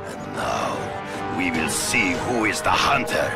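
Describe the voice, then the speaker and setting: A proud hunter villain from a video game, formal and grandiose. The voice is extremely low and thick with gravel, and it moves slowly and deliberately, with music behind it. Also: male voice, big pitch swings, full character voice. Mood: menacing, exultant. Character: proud, menacing male hunter villain obsessed with honor.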